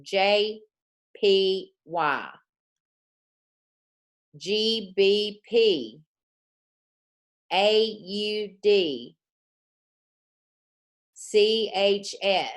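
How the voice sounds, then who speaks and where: A woman teaching a trading lesson, dictating slowly and repeating points, often checking in with "okay?". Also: medium pitch. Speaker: forex trading coach.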